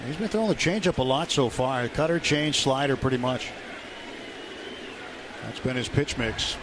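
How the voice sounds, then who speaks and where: A man's voice: mid-range voice, normal speed. A man giving conversational analysis as a commentator on a live baseball radio broadcast. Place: ballpark broadcast booth. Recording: live stadium broadcast, loud background noise.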